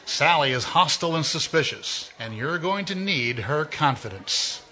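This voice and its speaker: A man voicing a knowing narrator who coolly taunts the schemer, with a bright, sharp voice. His insinuating delivery suits an old radio mystery play. Faint hiss sits behind the voice. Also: on the high side, lively intonation, moderate pace, poor audio. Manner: smooth, insinuating address to the listener. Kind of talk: live recreation of 1940s radio mystery acting.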